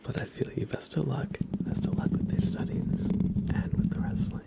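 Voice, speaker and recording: A man hosting an ASMR livestream, chatting softly to viewers in an unhurried, soothing way with halting phrasing. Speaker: livestream host.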